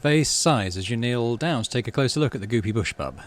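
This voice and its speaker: A man's voice: mid-range voice, expressive, brisk. A man hosting a playthrough, reading game text aloud with animation and muttering offhand commentary to himself. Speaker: gaming channel host.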